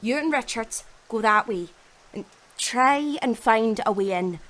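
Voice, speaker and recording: An actress playing a bossy, determined survivor leader with a brisk, commanding delivery in an audio drama. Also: female voice, a little high, expressive, a bit bright. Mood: firm, scolding. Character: bossy, determined young woman leading apocalypse survivors.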